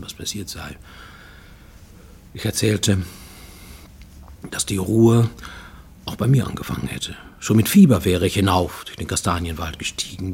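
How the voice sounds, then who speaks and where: An actor playing a haunted man who recounts childhood guilt in a halting, brooding radio-play monologue, at times sinking toward a whisper. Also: sits low, gravelly, darkish tone, a little hiss or hum. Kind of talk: German radio play, first-person confessional monologue.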